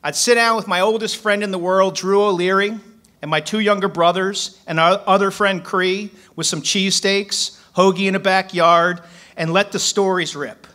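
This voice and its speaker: A man's voice: high-pitched, fairly even intonation, moderate pace, bright, forceful tone. A man in his forties from Philadelphia, a poet reading his work aloud from paper at a stand mic on a small club stage, then telling a story.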